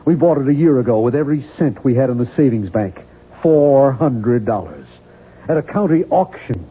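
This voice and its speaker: An actor playing an intense, tormented American novelist in a 1970s radio drama, speaking with fervent earnestness. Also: male voice, medium pitch, lively intonation, moderate pace, husky, narrow, tinny audio. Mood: earnest, bitter. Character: tormented, intense struggling male novelist, obsessed and pleading.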